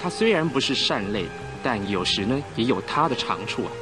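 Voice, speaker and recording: Actor as a calm male passenger over music. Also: mid-range voice, a little fast, lightly acted. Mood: calm, reassuring. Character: calm, reassuring ordinary man passenger, admiring of outlaws. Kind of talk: old-style radio drama acting.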